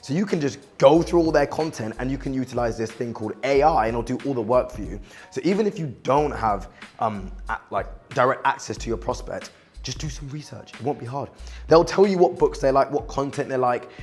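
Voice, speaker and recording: A young man from the West Indies, chatting casually in a vlog, animated and self-mocking, swearing and saying "like" a lot. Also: mid-range voice, a little fast, faint music behind. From Guyana and the West Indies. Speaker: vlogger and outreach coach. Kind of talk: casual vlog talk to camera.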